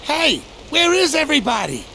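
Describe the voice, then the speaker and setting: A small, skittish male pig sidekick from an anime game. The voice is extremely high and gravelly, with faint rain noise behind it. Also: huge pitch swings, normal speed, pushed and bright, full character voice. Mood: puzzled. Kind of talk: English anime game voice acting.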